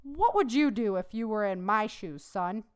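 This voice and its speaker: A woman reads the part of a stern male army officer in a storybook. He questions his soldiers bluntly, his smooth voice rising and falling a lot. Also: medium pitch, animated, moderate pace, natural voice.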